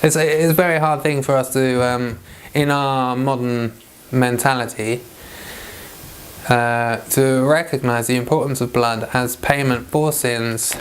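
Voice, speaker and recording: A man's voice: mid-range voice, fairly even intonation, measured pace, clean tone. A man leading an informal Bible read-along, reading scripture aloud and giving chatty devotional commentary.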